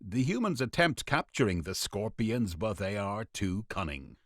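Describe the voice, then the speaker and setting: A man narrating a scripted radio play in a dramatic, deliberate storytelling manner. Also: on the low side, very animated.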